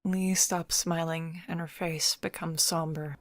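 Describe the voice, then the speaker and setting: Low-pitched woman narrating, very dry sound. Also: little pitch movement, a little slow, no echo. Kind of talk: scripted story narration with character voices.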